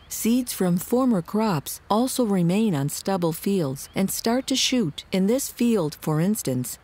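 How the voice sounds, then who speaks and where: A woman reading scripted documentary narration in a measured, articulate, explanatory way. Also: lowish voice, darkish tone.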